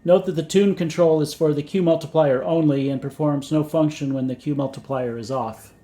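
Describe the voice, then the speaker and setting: Man, slightly high voice, scripted technical narration, measured, methodical, matter-of-fact. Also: fairly even intonation, warm tone. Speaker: vintage radio hobbyist and presenter.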